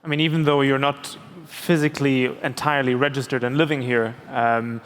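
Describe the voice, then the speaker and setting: Halting male moderator in a reverberant hall. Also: medium pitch, flat delivery, a little hiss or hum. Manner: halting, hesitant phrasing. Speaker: panel moderator. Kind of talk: conversational moderating. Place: large summit hall on stage.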